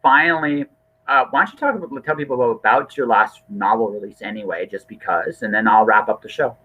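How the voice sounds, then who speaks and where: A man's voice: fairly low pitch, a little fast, narrow, tinny audio. A man hosting a podcast in casual conversation, talking informally and often repeating and rephrasing himself. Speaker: podcast host. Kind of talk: casual interview chat.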